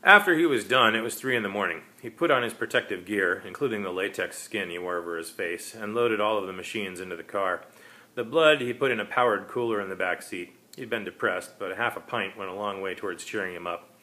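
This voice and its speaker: A man's voice: fairly low pitch, sharp tone. A man, an author, reading his own short story as dramatic scripted narration.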